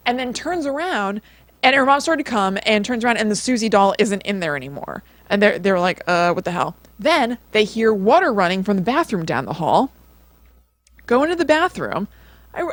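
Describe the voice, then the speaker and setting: A woman's voice: a little high, no echo. Woman podcast host, casual storytelling, lots of chatty asides, really dry close sound.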